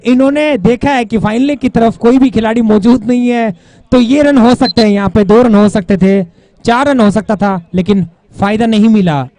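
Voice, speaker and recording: A very high-pitched man commentates on a live cricket match and makes announcements. He likes short rhyming quips, and his voice is recorded dry and close, without room echo. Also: normal speed, a little mellow.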